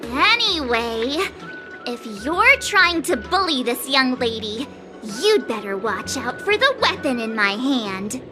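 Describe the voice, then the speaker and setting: Perky teen girl apprentice, super high voice, unhurried, earnest n boastful, game music under it. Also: big pitch swings, exaggerated voice. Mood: defiant. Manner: earnest, boastful but easily flustered. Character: perky, eager teenage girl sword apprentice. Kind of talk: video game voice acting.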